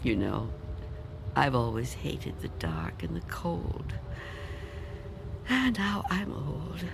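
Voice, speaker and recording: A woman's voice: a bass voice, wildly swooping pitch, slow pace, creaky, noisy background, heavily acted. Frightened old woman, wildly swooping voice. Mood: frail, yearning. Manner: tremulous, pleading, dramatic. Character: frightened old reclusive woman, fearful of death. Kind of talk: old-time radio drama acting.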